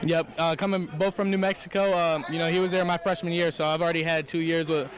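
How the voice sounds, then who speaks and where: Fast-talking young man, higher-pitched voice. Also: level tone, a faint crowd, rough recording. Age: young adult. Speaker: college football quarterback. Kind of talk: press interview answers.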